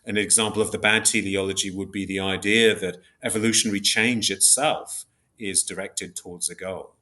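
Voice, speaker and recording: A man giving an academic explanation in an interview, measured and hesitant, working step by step through examples. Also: low voice, fairly even intonation, bright, forceful tone. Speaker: podcast guest, philosopher of biology.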